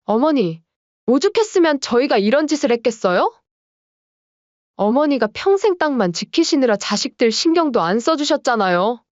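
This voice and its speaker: Voice doing a whiny, defiant younger son. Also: male voice, very high voice, very animated, moderate pace, no room sound. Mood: indignant. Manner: raised, indignant pleading. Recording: dull lo-fi dry sound.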